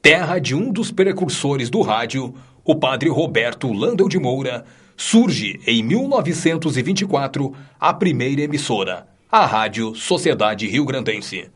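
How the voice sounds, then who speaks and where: A man of middle pitch narrating a documentary in a deliberate, scripted way. His pitch rises and falls freely, and faint noise sits beneath his voice. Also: big pitch swings, a bit bright.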